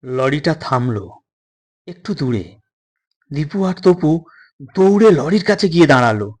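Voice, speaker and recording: A man narrating a suspense story in a dramatic, deliberate way, breaking sentences into short phrases with pauses. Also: a little high, big pitch swings, breathy edge.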